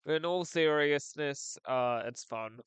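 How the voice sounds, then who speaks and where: A man's voice: a little high, unhurried, fairly bright tone, clear, resonant tone, dry recording. A man who is sick and losing his voice, chatting casually and hesitantly to his viewers, thinking aloud as he goes. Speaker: gaming channel host. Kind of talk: casual chat to camera.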